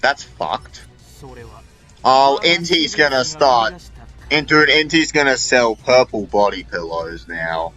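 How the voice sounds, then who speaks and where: A man's voice: medium pitch, lively intonation, slow, bright, forceful tone, dull audio, faint music behind. A man giving unscripted, rambling gameplay commentary in an irreverent, sweary way. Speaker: video creator.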